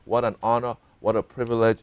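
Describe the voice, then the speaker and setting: A man hosting an interview show, asking questions in a relaxed, affirming way and often adding short reactions. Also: mid-range voice, fairly quick, smooth, no echo, poor audio. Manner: warm-hearted, affirming, interjects "wow" often. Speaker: TV show host.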